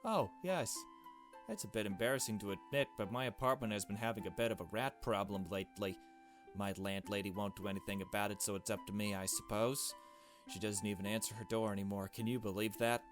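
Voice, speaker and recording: A man voicing a mild, flustered gentleman from a visual novel, with fussy, effusive politeness. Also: fairly low pitch, a little fast, soft background music, natural voice. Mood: embarrassed, indignant. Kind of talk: visual novel dialogue read aloud.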